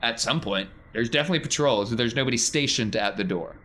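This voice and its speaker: A man's voice: mid-range voice, fast, sharp tone. A man working as game master, narrating improvised scenes in a conversational, descriptive way.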